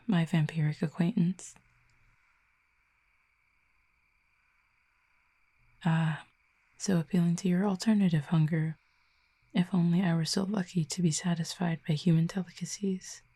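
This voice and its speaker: A woman voicing a calm, reserved, quietly fond cupid in an intimate audio roleplay, speaking softly in measured, formal, gently teasing phrases. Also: on the low side. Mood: dryly teasing. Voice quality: soft, breathy, hushed.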